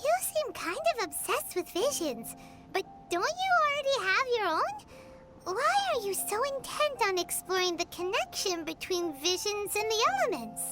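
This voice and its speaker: A childlike little fairy sidekick in a video game. She is perky and blunt, squeaking at an extremely high pitch with very animated swings, over prominent music. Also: moderate pace. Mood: curious, blunt. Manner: perky, blunt, speaks of herself in third person. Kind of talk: cartoonish video game voice acting.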